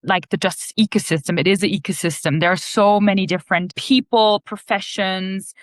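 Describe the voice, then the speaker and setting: Mujer de Austria, reflexiva, voz media. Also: female voice, medium pitch, steady tone, fairly quick, dry recording. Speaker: podcast guest, leadership organization CEO. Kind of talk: conversational interview answers.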